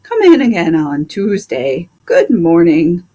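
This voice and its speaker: A woman's voice: on the low side, big pitch swings, takes it slowly, warm tone, full character voice. A woman voicing a kindly, polite male animal doctor who speaks briefly and reassuringly in a storybook.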